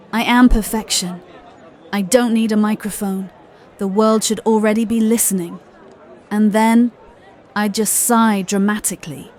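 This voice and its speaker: A woman's voice: mid-range voice, darkish tone, natural voice. A woman voicing a vain, haughty diva puppet with a languid, self-adoring theatrical manner.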